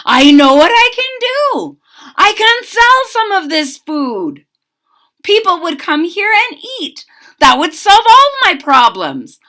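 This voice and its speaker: A woman voicing a plump, excitable man who loves to cook, loud and overjoyed as she reads him aloud. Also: very high voice, slow, pitched above the performer's own voice, heavily acted. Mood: delighted.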